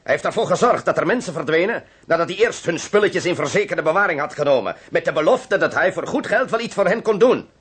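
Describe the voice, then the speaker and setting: An actor playing a defiant murder suspect in a radio play, emphatic and indignantly protesting. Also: male voice, on the high side, animated, fast talker, few pauses, a bit bright, slightly rough.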